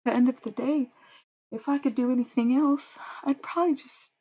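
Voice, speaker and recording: A woman's voice: high-pitched, fairly even intonation, brisk, mellow, slightly rough, low-quality recording. A young woman livestreaming, chatting with viewers in a rambling, dreamy way and swearing freely. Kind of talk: casual livestream chat with viewers.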